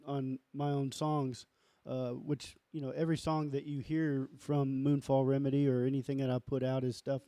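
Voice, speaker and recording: A man with a lower voice talking casually as an interview guest, halting and often repeating himself. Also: mellow, no room sound. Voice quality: lower register voice. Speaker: musician and label founder guest.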